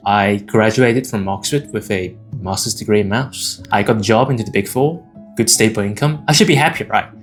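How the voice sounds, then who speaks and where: A man in his twenties from Hong Kong, telling his personal story to camera in a candid, conversational and informal way. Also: fairly low pitch. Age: 20s. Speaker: video creator and AI agency founder. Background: acoustic guitar music.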